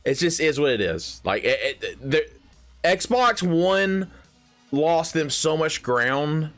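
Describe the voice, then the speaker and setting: A man's voice: a little high, sing-song, slow pace, fairly bright tone, soft background music. A thirty-year-old man giving his opinions in a casual gaming vlog, rambling and emphatic, with restarts, slang and the odd swear word. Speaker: gaming video creator.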